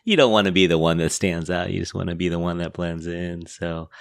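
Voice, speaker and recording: A man's voice: low-pitched, fairly even intonation, fairly quick, gravelly, clear, resonant tone, no echo, clean studio sound. A man with an American accent hosting a podcast and chatting casually with guests. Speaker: podcast host. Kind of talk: casual interview chat.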